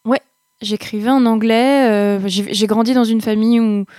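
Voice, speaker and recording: A young woman, a singer-songwriter, answering interview questions in a relaxed, halting way, with many fillers and restarts. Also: on the high side, no echo, studio quality.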